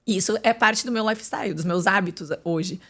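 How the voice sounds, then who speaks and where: A woman's voice: medium pitch, brisk, a bit bright. A woman, a podcast guest talking candidly and casually, with many fillers and restarts. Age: 30s. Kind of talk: casual interview chat.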